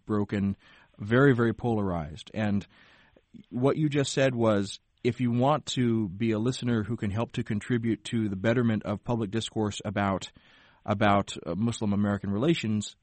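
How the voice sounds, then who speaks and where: A man with a fairly low voice hosts a radio interview show, asking careful, thoughtful questions that sometimes restart mid-phrase. The sound is dry and close. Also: no echo. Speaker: radio host.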